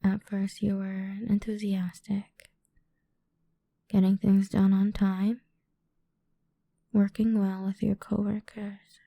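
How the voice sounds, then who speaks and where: A woman playing a cruel, condescending female boss in an ASMR roleplay, speaking deliberately and often slipping into a whisper close to the microphone. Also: medium pitch, dark tone, no room sound. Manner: condescending, deliberate, drawn-out delivery with breathy whispers. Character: cruel, domineering female boss toying with an employee. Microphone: close microphone, dry.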